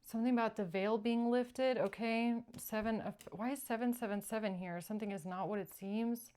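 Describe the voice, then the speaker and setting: A woman's voice: on the high side, fairly even intonation, warm tone, a little hiss or hum. Woman giving an intuitive tarot reading. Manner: repeats short phrases for emphasis, pausing. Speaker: tarot reader and channeller, show host.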